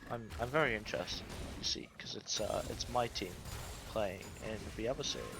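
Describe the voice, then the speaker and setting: A man with a soft voice casting a live online game, joking about himself between plays. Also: on the low side, normal speed, creaky, music in the background. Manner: self-deprecating, joking. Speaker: esports caster.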